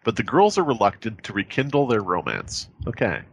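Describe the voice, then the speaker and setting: A man's voice: lowish voice, huge pitch swings, brisk, gravelly, breathy edge, rough recording. A man chatting casually as a podcast host, reading aloud and riffing with sardonic, sweary asides. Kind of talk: casual comedic chat, reading aloud with banter.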